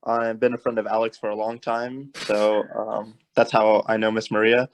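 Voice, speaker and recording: Flat-toned young man on a call. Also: on the low side, normal speed, slight background noise, rough recording. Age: 20s. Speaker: young adult panelist. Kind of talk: casual chat. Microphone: video call.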